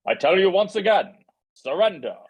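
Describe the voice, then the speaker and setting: A woman voicing an imperious villain prince who demands surrender in measured lines at a table read. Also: mid-range voice, big pitch swings, a little mellow, breathy edge, rough recording. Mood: haughty, stern.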